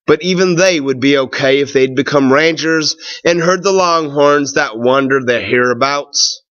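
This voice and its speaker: A man's voice: mid-range voice, moderate pace, fairly bright tone, muffled recording, lightly acted. A man voicing a folksy, frank Texan drifter from a storybook, with an emphatic manner. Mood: frank, advising.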